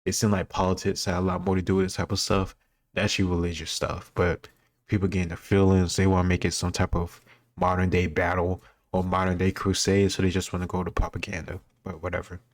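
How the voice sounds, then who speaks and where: Very deep-voiced American man, casual. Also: monotone, fast. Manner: rambling, opinionated, self-correcting. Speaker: host reacting to a history quiz video. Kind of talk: casual reaction commentary.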